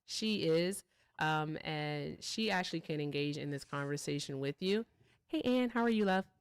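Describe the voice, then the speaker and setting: A woman hosting a political debate, quick, friendly and direct. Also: low voice, expressive, clear, resonant tone, no room sound, studio quality. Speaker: host.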